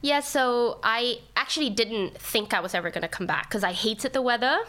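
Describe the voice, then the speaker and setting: A woman's voice: on the high side, moderate pace, fairly bright tone. A woman in her thirties from Thailand with an international school accent, chatting reflectively as a podcast guest. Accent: international school English. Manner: chatty, reflective, many fillers. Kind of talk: casual interview.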